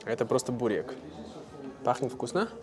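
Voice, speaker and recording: A man from Russia vlogging casually to camera, speaking simply and clearly for language learners with easy fillers. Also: mid-range voice, expressive, normal speed, over music. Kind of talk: casual vlog talk to camera.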